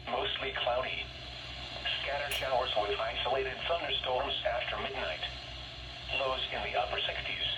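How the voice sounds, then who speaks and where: A synthetic-sounding man reading a scripted weather forecast in a measured way over the radio. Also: mid-range voice. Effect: radio transmission. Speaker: automated weather radio announcer. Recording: noisy radio speaker, roomy and distant. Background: loud noise, room ambience.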